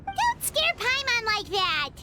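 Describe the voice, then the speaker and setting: A woman's voice: extremely high pitch, huge pitch swings, takes it slowly, very noisy, full character voice. A woman voicing a tiny, childlike, easily spooked fairy companion in a video game. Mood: frightened. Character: tiny excitable timid floating fairy companion, childlike girl. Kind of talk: video game character acting.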